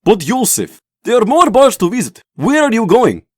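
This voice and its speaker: A man's voice: high voice, sing-song, moderate pace. A man playing a sly, fun-loving Soviet scientist in a comic dub. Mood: excited.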